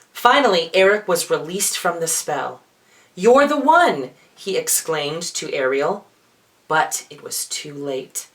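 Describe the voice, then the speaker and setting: A man performing as a drag queen, reading a children's storybook in a theatrical, expressive way with playful asides. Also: fairly high pitch.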